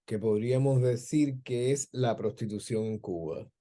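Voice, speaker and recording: A man's voice: medium pitch, normal speed, dark tone. A man hosting a conversational interview, phrasing his questions in a hesitant, circling way. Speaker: interview host, film director.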